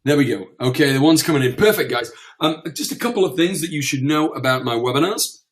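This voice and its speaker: A man presenting a live webinar, croaky from a cold, chatty with lots of fillers and repeated words. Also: a little high, expressive, fast talker.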